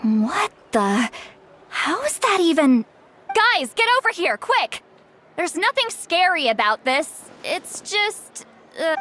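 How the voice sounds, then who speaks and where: Game role, assured young female leader: high, expressive, decisive, articulate, music underneath. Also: slow pace, exaggerated voice. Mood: surprised. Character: confident young woman leader, encouraging and decisive. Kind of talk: video game voice acting.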